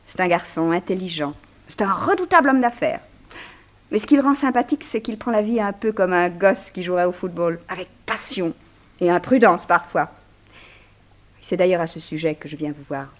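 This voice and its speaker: An actress in a 1960s French radio drama playing a poised, curious society journalist who speaks in an articulate, lively way. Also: female voice, lowish voice, normal speed, lightly acted. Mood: chatty, fond.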